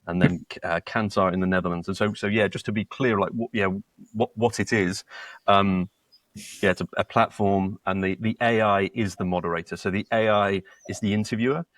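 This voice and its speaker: Low-voiced British man with many restarts. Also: steady tone, a little fast, no room sound. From Britain. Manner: hesitant, many repeated words and restarts. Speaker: co-founder and guest. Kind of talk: casual interview talk.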